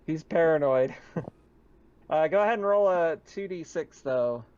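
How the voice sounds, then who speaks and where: A man's voice: a little high, lively intonation, a little slow, a little mellow, smooth, highs cut off. A man chatting casually as game master of a tabletop roleplaying session, with a jokey manner and frequent chuckles.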